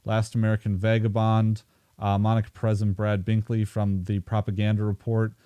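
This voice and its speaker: A man's voice: fairly low pitch, monotone, a little fast, clear, resonant tone, dry recording, dull audio. A man hosting a podcast, reading sponsor plugs in a casual way with some filler words, then asking his guest questions. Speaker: podcast host.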